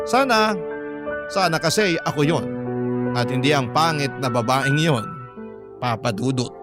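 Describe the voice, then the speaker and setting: A man with a Filipino accent dramatically reading a listener's letter in the first person as a radio drama narrator. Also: medium pitch.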